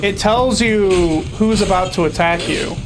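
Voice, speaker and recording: A man's voice: high voice, unhurried, over music. A young man casually commenting on a video game as he plays, chatty and sarcastic. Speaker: streamer playing a video game.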